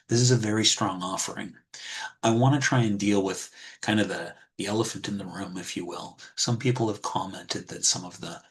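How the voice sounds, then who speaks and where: A lowish, slightly husky male voice, speaking calmly over a computer mic on a video call. He walks through his points steadily and takes many small pauses and restarts. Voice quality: husky, soft. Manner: calm, measured, with frequent ums and restarts. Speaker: insurance wholesaler presenting a webinar. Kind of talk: webinar presentation with screen share.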